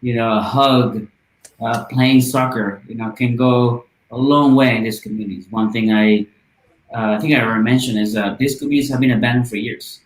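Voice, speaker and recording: A man's voice: mid-range voice, fairly even intonation, brisk. A man from Guatemala speaking as a podcast guest, earnest and passionate, with frequent "uh"s. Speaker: guest from a volunteer charity. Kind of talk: casual podcast interview. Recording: roomy, echoey sound.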